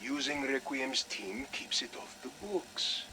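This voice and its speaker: An actor playing a commanding, cold Soviet colonel, giving deliberate orders in a game audio log. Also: male voice, medium pitch, background noise, close to an everyday voice. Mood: cold, calculating. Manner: deliberate, authoritative, clipped orders.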